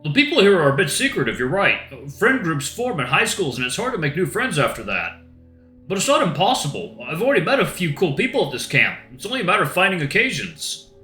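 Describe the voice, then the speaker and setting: A man's voice: on the high side, huge pitch swings, quick pace, pushed and bright, pitched above the performer's own voice, full character voice. A man voicing a friendly young male camp-goer who talks in a chatty, easygoing way. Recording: roomy echo with faint guitar music.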